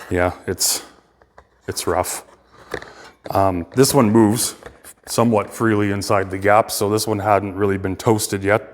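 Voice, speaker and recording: A man giving a casual, unscripted technical walkthrough as a repairer, halting as he thinks aloud. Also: lowish voice, in a large hall. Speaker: speaker restorer presenting a repair video.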